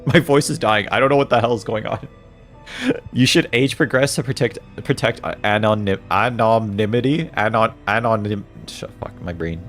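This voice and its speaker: A man's voice: fairly low pitch, normal speed, a bit bright, soft background music. A man in his twenties streaming a game, joking with chat, reading messages aloud and laughing often. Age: 20s. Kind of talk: casual livestream chat while playing a game.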